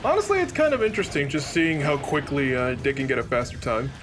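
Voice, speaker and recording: A man's voice: on the high side, huge pitch swings, fast. A man chatting casually as a commentator on a video game. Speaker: let's play commentator. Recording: game music behind the voice. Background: loud video game music.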